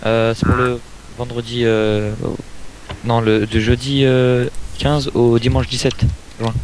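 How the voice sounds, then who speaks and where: A man's voice: medium pitch, little pitch movement, takes it slowly, a little hiss or hum. A man making a prank phone call, politely persistent and hesitant in his questions. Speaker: prank caller.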